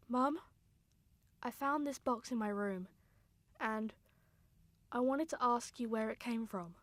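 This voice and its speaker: A woman plays a grieving younger sister telling her story in a radio drama. The voice is fairly high, earnest and sombre, recorded close and dry with deliberate phrasing. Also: a bit bright, no room sound. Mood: heavy-hearted, urgent. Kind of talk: scripted radio drama narration.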